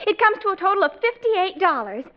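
An actress playing a polite, level-headed young American daughter in an old-time radio comedy, with earnest, precise delivery. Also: female voice, very high voice, sing-song, fairly quick. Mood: matter-of-fact. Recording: muffled vintage radio recording.

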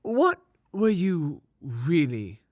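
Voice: medium pitch, wildly swooping pitch, extremely slow, a little mellow, dry recording, studio quality, heavily acted. A performer playing a teasing, sceptical sidekick to a storyteller in comic fantasy banter.

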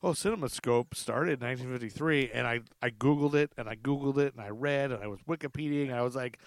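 A man's voice: medium pitch, fast talker, no room sound. A middle-aged man hosting a podcast in casual chat, with a rambling, self-deprecating way of talking. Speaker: podcast host.

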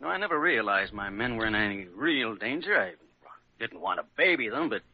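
An actor playing a plain-spoken, gruffly good-natured construction boss in a 1940s radio drama. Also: male voice, fairly low pitch, big pitch swings, brisk. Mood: concerned, sincere. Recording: dull old radio recording.

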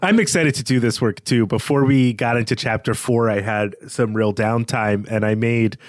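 A man's voice: medium pitch, moderate pace, no room sound, clean studio sound. A man co-hosting a literary discussion podcast, talking analytically in bookish phrasing. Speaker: podcast co-host.

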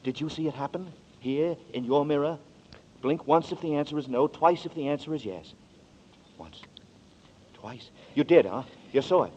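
A man's voice: on the high side, moderate pace, darkish tone, slight background noise, lightly acted. A man playing a desperate accused murderer. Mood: coaxing. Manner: tense, urgent, brooding narration. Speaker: radio drama lead and narrator. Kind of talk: 1940s radio acting. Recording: dull lo-fi 1940s radio broadcast.

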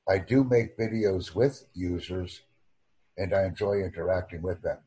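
Low, dark, husky American man. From United States. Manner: formal, deliberate, over-enunciated phrasing. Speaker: YouTube channel host. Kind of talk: slow, careful video-call chat.

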